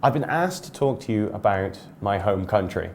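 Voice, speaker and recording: A man with an English accent who teaches English, talking casually to his students with chatty asides and quick self-corrections. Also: fairly low pitch, lively intonation, vocal fry, a little hiss or hum. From England. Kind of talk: casual spoken lesson to students.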